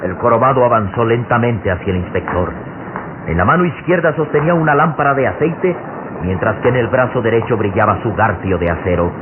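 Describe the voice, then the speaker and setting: Theatrical male radio serial narrator, tinny sound. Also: mid-range voice. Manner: theatrical, solemn, suspenseful, with weighty pauses. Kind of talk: dramatic scripted narration, old radio serial. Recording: noisy narrow-band old radio serial recording.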